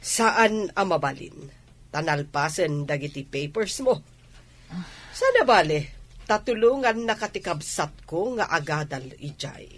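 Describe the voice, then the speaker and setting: A woman's voice: lowish voice, animated, measured pace. Woman acting a firm Ilocano mother. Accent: Ilocano Filipino. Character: firm, determined mother sending her son abroad. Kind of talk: Ilocano radio drama acting.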